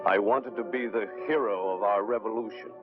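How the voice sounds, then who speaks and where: An actor playing a condemned revolutionary, solemn and declamatory, in 1950s film melodrama style. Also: male voice, fairly low pitch, fairly even intonation, a little fast, lightly acted. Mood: grave, impassioned. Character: condemned male revolutionary, remorseful, defiant to the end. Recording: tinny old film soundtrack under loud music.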